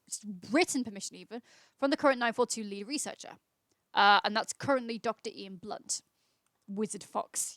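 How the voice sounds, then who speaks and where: A woman's voice: on the high side, dry recording, studio quality. A woman hosting a livestream, chatting casually and reading aloud informally, with small stumbles and self-corrections. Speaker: livestream host.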